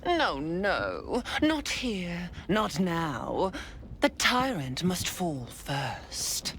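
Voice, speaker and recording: A woman's voice: lowish voice, wildly swooping pitch, drawn-out words, fairly bright tone, slight background noise, heavily acted. An actress playing a sadistic young female assassin of a murder cult, taunting in a sing-song voice that slides into whispers. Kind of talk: video game cutscene acting.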